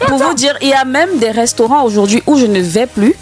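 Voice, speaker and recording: A woman hosting a casual radio chat show, leading the segments and speaking directly to listeners in a lively way. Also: fairly high pitch, fairly quick, music in the background.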